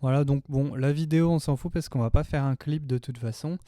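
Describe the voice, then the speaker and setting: A man's voice: mid-range voice, fairly quick, dark tone, no echo, clean studio sound. A man in his thirties giving a casual, chatty, slangy tutorial as a music production tutor. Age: 30s. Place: small home studio indoors.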